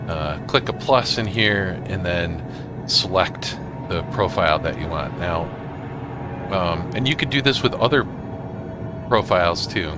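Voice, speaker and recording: A man giving a casual software tutorial, thinking aloud in an informal, halting way. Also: deep voice, expressive, music in the background. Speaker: tutorial host.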